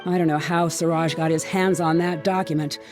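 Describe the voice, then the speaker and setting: A woman's voice: low voice, fairly even intonation, fairly quick, music in the background, lightly acted. An actress playing a proud, irritable young woman in a video game, haughty and brusque. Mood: explaining.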